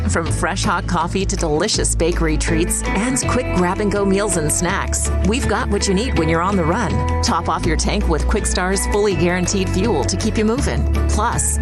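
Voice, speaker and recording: An American woman giving a scripted commercial read for radio. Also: mid-range voice. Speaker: commercial voice-over. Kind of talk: scripted advertisement narration. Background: loud music bed.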